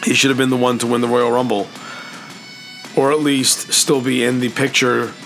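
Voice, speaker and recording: A man with a raspy, hoarse voice, hosting a casual wrestling predictions talk, enthusiastic and profane, rambling with restarts. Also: medium pitch, little pitch movement, moderate pace, fairly bright tone, soft background music. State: hoarse, clearing throat. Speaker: wrestling podcast host.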